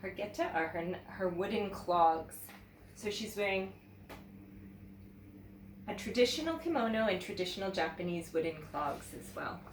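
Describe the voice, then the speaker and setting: A woman teacher reading a children's story aloud in an expressive, animated way, talking directly to young viewers. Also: medium pitch, normal speed, long pauses. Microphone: camera mic at some distance. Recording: roomy, distant mic with background noise.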